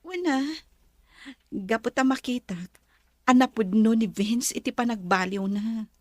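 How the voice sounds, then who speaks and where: High-voiced kind Ilocano madam played by a woman. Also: a little slow, no room sound, studio quality. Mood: grateful. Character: kind, grateful woman of a wealthy household. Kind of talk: earnest drama dialogue.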